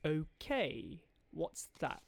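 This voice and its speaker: A man's voice: a little high, sing-song, drawn-out words, creaky, slight background noise, exaggerated voice. A man voicing a sarcastic, exasperated young pub owner in an audio drama. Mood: deadpan. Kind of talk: fan audio drama acting.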